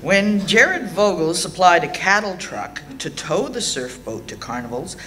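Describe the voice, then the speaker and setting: An Australian woman in her sixties reading a prepared eulogy aloud in a measured, dryly understated way. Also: on the low side, very animated, noisy background. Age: 60s. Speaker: eulogy reader at a memorial. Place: indoor hall.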